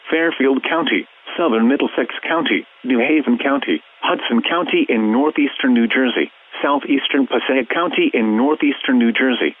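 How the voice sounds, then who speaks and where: A narrow, tinny radio band carries a synthetic man's voice at a middle pitch. He reads emergency weather warnings as an automated announcer, formal and measured throughout. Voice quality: synthetic text-to-speech voice. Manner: flat, formal, measured reading. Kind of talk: scripted emergency weather bulletin.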